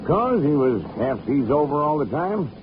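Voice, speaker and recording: An actor playing a gruff old boat captain who talks in nautical slang, in an old radio drama with a dull, hissy recording. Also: male voice, a little high, very animated, normal speed. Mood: salty, gruff. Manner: nautical slang, calls others "Sonny". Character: gruff old male boat captain, salty sea talk. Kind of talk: 1940s radio acting.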